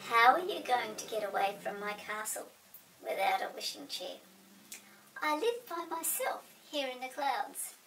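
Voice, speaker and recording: A woman voicing a small, sly male goblin in a children's storybook, pompously and over-politely courteous, with a sharp, creaky voice. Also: fairly high pitch, very animated, unhurried, recorded from a distance, slight background noise, heavily acted, rough recording. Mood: mocking.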